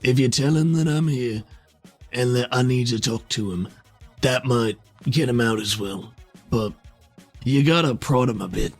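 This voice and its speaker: A weary, grimly insistent soldier in heavy armor acted out by a man at a game table. He is blunt and guarded, with a mid-pitched voice over a music bed. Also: measured pace. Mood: guarded, hesitant. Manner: terse, blunt.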